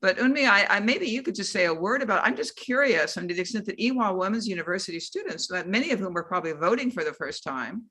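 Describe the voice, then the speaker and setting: A woman's voice: mid-range voice, animated, fast talker, hardly any pauses, bright, forceful tone, breathy edge. A woman moderating a panel discussion who talks in a discursive, editorializing way, with many restarts as she builds her questions.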